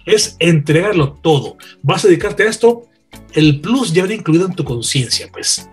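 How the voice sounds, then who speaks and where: A man's voice: a little high, animated, moderate pace, husky, faint music behind. A man with a Mexican accent, a veteran radio announcer, telling anecdotes in an earnest, teaching way. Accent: Mexican Spanish. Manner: storytelling, earnest and didactic. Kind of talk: anecdotal conversation.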